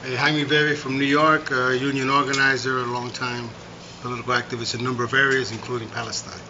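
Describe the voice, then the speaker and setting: A man union organizer introducing himself in a brisk, informal way. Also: mid-range voice, flat delivery. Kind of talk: panel self-introduction. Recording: muffled and noisy.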